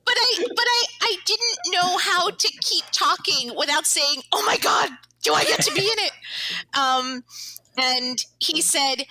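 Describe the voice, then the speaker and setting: A woman's voice: extremely high pitch, expressive, unhurried, crowd noise, poor audio. A woman chatting casually in an interview as a cast member, jokey and self-deprecating.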